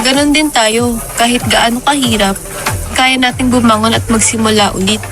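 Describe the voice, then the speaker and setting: A woman's voice: a little high, steady tone, normal speed, close to an everyday voice. A woman playing a hopeful, steadfast village woman in a radio drama. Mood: encouraging. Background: music.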